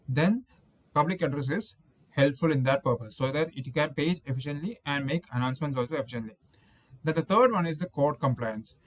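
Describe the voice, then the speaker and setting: A male instructor explains technical material step by step. His pitch sits mid-range and the sound is dry, with hardly any room on it. He is methodical, often restating a point in slightly different words. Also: no room sound. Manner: methodical, repeats and rephrases points. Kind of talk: explanatory technical lecture over slides.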